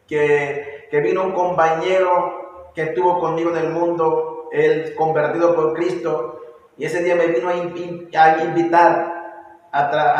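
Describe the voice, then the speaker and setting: Panamanian man, 40s, earnest and halting; flat delivery, distant echoey room. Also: fairly high pitch. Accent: Panamanian Spanish. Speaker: church member giving testimony. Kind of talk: personal testimony. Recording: echoey hall, distant mic.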